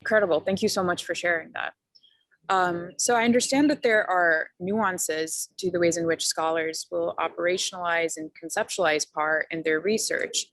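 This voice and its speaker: A woman's voice: fairly low pitch, normal speed, fairly bright tone, no echo. Polite woman moderator, dry sound. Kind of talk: moderating a panel. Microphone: video call.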